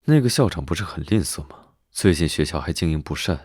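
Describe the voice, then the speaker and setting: Deep, quick-talking, teasing young duke, voiced by a man for an animated drama; background music. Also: husky, no echo, studio quality. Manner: teasing, drawling and self-assured. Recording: background music under dialogue.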